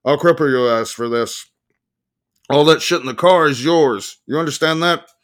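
A man's voice: on the high side, normal speed, a bit bright, voice pushed up, natural voice. A man voicing a paranoid, frantic fugitive who rants crudely in a story reading. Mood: threatening. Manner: panicky, profane, ranting. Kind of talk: audiobook character voice.

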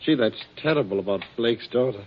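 A man's voice: mid-range voice, fairly quick, close to an everyday voice. An actor playing a guilty, moody young man, agitated and defensive, in an old radio drama. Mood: nervous, defensive. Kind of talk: 1940s radio drama acting. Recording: muffled, noisy old radio recording.

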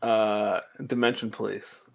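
A man's voice: lowish voice, level tone, unhurried, creaky. A man in his early thirties co-hosting a podcast, giving blunt, offhand, joking answers. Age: 30s. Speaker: podcast co-host. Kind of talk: casual chat.

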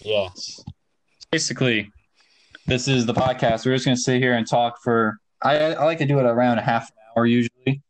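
A man's voice: mid-range voice, steady tone, moderate pace, rough recording. A young man from Ohio hosting a casual podcast, easygoing and using slang. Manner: laid-back, casual slang. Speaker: podcast host. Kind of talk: casual chat.

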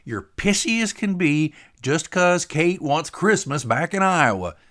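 A man's voice: fairly high pitch, very animated, measured pace, fairly bright tone, pitched above the performer's own voice. A man voicing a blunt, breezy boat-owning buddy who needles his friend in colloquial talk, in a narrated story. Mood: needling.

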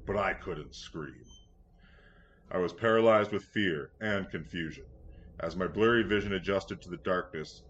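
A man with a low voice narrates a first-person story. He is earnest and measured. The recording is somewhat dull, with noise behind him. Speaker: story reader. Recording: dull lo-fi sound, clear background noise.

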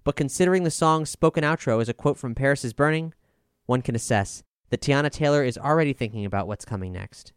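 Smooth male reviewer reading a script. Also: medium pitch, fast, no room sound, clean studio sound. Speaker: music reviewer.

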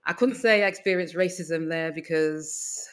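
An older woman from England with a Manchester accent, a guest in a casual interview, telling her story with many "you know"s and pauses. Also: sits low. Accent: Mancunian English. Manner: reflective, warm storytelling with frequent "you know" and "um".

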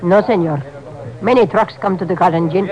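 A woman's voice: on the low side, brisk, a crowd in the background, close to an everyday voice, low-quality recording. An actress playing a wary, hardworking Mexican mother in a 1950s radio drama, speaking emphatic broken English with a Mexican Spanish accent. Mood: earnest. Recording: old radio drama with music.

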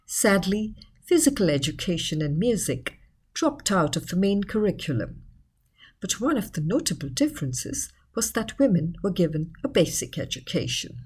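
Expressive woman narrator, slightly husky. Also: mid-range voice. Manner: measured, explanatory reading. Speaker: article narrator. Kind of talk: scripted educational narration.

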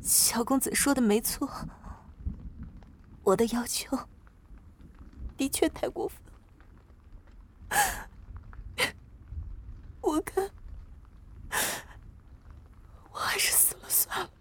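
A woman's voice: high voice, sing-song, takes it slowly, pauses often, slight background noise, heavily acted. A woman playing a desperate, jilted young village woman, plaintive and distraught, in a Chinese period audio drama. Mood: meek, dejected.

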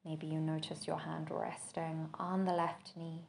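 A woman with a lowish voice guides a body scan meditation. She speaks very slowly and gently. Each measured instruction is followed by a long, unhurried pause. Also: level tone, vocal fry, a little hiss or hum. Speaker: podcast host and meditation teacher.

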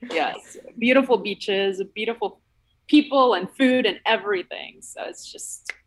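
A woman from Puerto Rico, a guest on a podcast, speaking in an unhurried, slightly nervous way and laughing often over a video call. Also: medium pitch, poor audio.

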